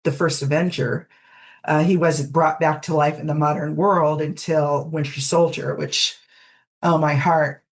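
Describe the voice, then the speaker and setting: A woman with a distinctly low-pitched voice chats as a guest on a podcast. Her delivery is casual and reflective, with the emphatic asides of an enthusiastic fan. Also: steady tone, unhurried. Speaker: podcast guest, fanfiction audiobook creator. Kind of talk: casual conversational interview.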